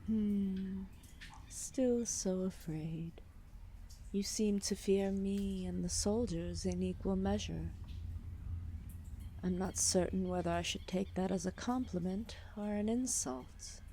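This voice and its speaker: Woman as a very slow, languid serpent goddess. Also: mid-range voice, dark tone, slight background noise. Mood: amused condescension. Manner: slow, languid, formal, condescending speech. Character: giant ancient serpent deity, drowsy, regal, amused. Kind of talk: ASMR roleplay audio drama.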